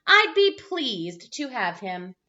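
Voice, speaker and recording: A woman's voice: a little high, sing-song, sharp tone, dull audio, full character voice. A woman voicing a strict mother from a children's story, insistent about manners and speaking with emphatic stress. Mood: pleased, gracious. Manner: gasping, insistent, drawn-out emphasis.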